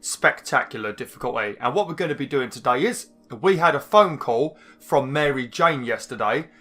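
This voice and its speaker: A man hosting a let's-play, slightly hoarse and chatty as he rambles through game menus. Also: mid-range voice, lively intonation, normal speed, fairly bright tone, faint music behind. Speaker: gaming channel host. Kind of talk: casual let's-play commentary.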